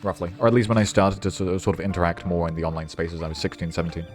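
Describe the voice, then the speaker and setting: A man's voice: low-pitched, little pitch movement, rapid-fire, soft background music. A man in his twenties chatting casually on a live stream, rambling and swearing freely as he reads his viewers' messages and reminisces. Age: 20s. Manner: rambling, casually profane, stumbles over words.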